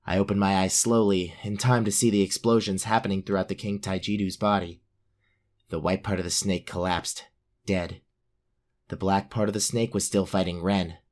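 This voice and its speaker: A man's voice: deep voice, steady tone, moderate pace, lightly acted. A man playing a brave but self-doubting young fantasy warrior, narrating his own story in an audio drama. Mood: earnest narration.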